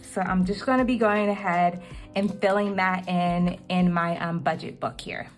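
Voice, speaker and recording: A woman's voice: lowish voice, steady tone, moderate pace, faint music behind. A young woman talking through her monthly budget in a casual vlog, chatty and full of ums, her voice a little cracked. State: slightly cracking voice. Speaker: budgeting vlogger.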